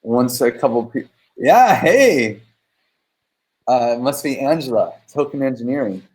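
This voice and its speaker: A man's voice: medium pitch, animated, unhurried, a little mellow. A man hosting a casual livestream over a video call, talking loosely with lots of fillers. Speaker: host.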